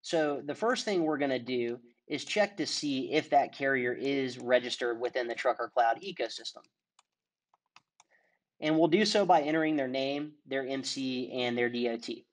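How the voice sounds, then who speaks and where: A man talks through a software demo over a screen share. He explains each step in a steady, clear presenter's manner, and his voice sits at an everyday middle pitch. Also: normal speed. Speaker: product demonstrator.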